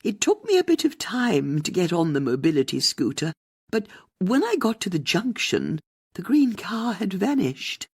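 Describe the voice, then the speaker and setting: A man's voice: sits high, sing-song, moderate pace, slightly rough, dry recording, clean studio sound, pitched above the performer's own voice, exaggerated voice. A man doing a breathless, fretful old woman. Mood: breathless, upset. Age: older. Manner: flustered, fretful. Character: breathless, upset elderly woman on a scooter.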